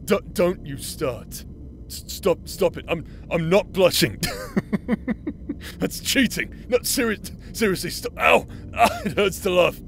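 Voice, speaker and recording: Man playing a slow-drawling roguish outcast brother. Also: high voice, lively intonation, vocal fry, a bit bright, a little hiss or hum, full character voice. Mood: flustered, laughing. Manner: drawling, hesitant, punctuated by chuckles. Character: roguish, teasing outcast brother playing the villain, secretly tender. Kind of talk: intimate audio roleplay acting. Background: music.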